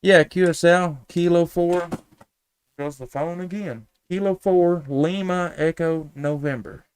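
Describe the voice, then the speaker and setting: A man's voice: fairly high pitch, fairly even intonation, measured pace, slight background noise. A man, chatting casually as a ham radio operator on a live stream in a folksy, easygoing way with call-sign phrasing. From United States, Tennessee.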